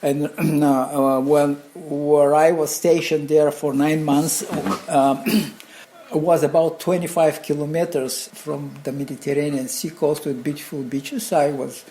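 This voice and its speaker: An older man with a Bulgarian accent speaks in a mellow, relaxed voice that sits a little high. He tells anecdotes casually, stopping and restarting, wandering off and picking up again with animation. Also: a little hiss or hum. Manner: halting, rambling, animated anecdotes with chuckles. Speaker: interview guest, craftsman and designer. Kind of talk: casual conversational storytelling.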